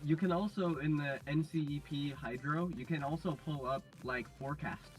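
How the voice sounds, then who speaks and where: A man co-presenting a live stream, giving casual, halting technical explanations in an informal way. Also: on the high side, soft background music, poor audio.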